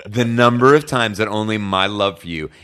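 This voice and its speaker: A man with a very deep voice talks casually as a podcast co-host. He slips in wry, teasing jokes between his questions. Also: moderate pace, fairly bright tone, clean tone. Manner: wry, teasing, joking asides. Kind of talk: casual chat reviewing a podcast.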